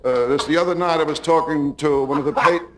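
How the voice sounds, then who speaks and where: A man's voice: a little high, steady tone, a little fast, heavy background noise. A man in his fifties, a bistro owner visiting a talk show, chatting in a rambling, joking way and chuckling between lines. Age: 50s.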